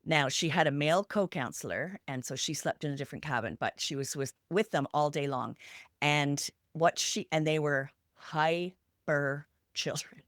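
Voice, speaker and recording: A woman's voice: low-pitched, moderate pace, no echo, studio quality. A woman hosting a podcast, sharing anecdotes and advice in a conversational way. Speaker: podcast host.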